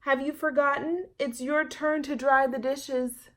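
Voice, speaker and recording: A mother from a children's picture book, played by a woman reading aloud. She is gentle yet firm, talking as a parent does to her young daughter. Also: sits high, steady tone, unhurried, voice pushed up. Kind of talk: children's storybook read-aloud.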